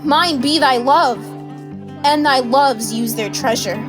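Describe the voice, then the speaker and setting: A student actress plays a smitten young female lover in an audio drama. Her voice is fairly high and slow, measured in the verse, over faint music. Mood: admiring, smitten. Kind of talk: Shakespeare sonnet as dramatic monologue.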